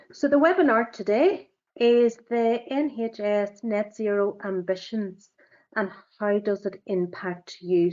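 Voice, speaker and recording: A woman with a Northern Irish accent presents a business webinar at a fast clip, scattering ums and uhs as she goes. She sits in the middle of the female range. The sound is a bit muffled and lo-fi, like a computer call. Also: medium pitch, a little mellow. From Northern Ireland. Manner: fast-talking, with frequent fillers. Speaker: sustainability assessor and webinar presenter. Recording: dull, lo-fi webinar audio.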